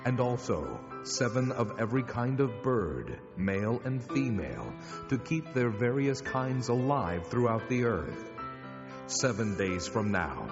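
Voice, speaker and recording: An actor playing God in a dramatized scripture reading, solemn, deliberate and commanding. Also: male voice, lowish voice. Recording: dull cavernous reverb, music behind. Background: music.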